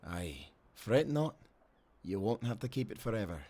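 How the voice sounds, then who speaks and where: An actor playing a tender, grieving old sailor with a Scottish accent in an audio drama. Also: male voice, lowish voice, very animated, moderate pace, mellow. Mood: somber, gentle.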